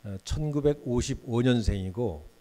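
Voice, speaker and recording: Mellow-voiced man in his sixties lecturing. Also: mid-range voice, expressive, moderate pace. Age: 60s. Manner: chatty, questions the audience directly. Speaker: guest lecturer. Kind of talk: university lecture. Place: university lecture hall.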